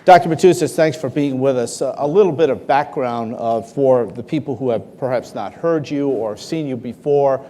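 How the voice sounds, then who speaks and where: A man hosts a live town-hall interview, his mellow, relaxed voice steering the questions in a formal way. His words ring out with the long reverb of a large hall. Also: medium pitch, normal speed, hardly any pauses, a faint crowd, slight background noise. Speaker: talk show host. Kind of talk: hosting a live interview before an audience.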